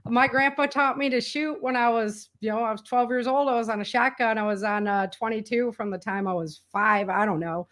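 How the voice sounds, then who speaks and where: An American woman chatting casually as an interview guest, telling stories in an animated way and acting out bits of dialogue. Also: fairly high pitch, a little fast, few pauses. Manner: animated storytelling, quoting imagined dialogue. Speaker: guest, author.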